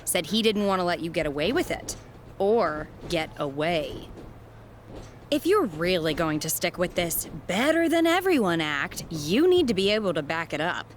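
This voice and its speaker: An actress playing a stern, reproving wrestling trainer in a video game cutscene. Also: female voice, on the low side, very animated, moderate pace, a little hiss or hum. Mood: scolding, challenging. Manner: firm, reproving. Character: stern female wrestling trainer scolding a rookie.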